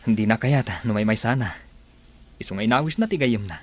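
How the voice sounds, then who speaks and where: Husky young rich man, acted by a man. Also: lowish voice, animated, fairly quick. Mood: troubled. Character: rich young son wanting his own money. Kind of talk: dramatised story dialogue.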